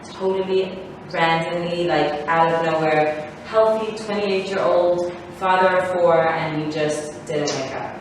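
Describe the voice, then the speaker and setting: A woman's voice: on the low side, measured pace, few pauses. Woman speaking in an echoey hall. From born in Israel, raised in South Africa. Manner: rambling, many fillers and restarts. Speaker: guest speaker. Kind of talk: personal talk to parents. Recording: echoey hall from far off, faint noise.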